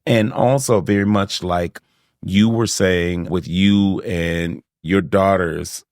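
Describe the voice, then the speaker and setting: A man's voice: deep voice, fairly even intonation, slow pace, a little mellow, dry recording, clean studio sound. A man hosting a podcast, chatting casually and animatedly, laughing often and acting out quotes. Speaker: podcast host. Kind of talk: casual chat.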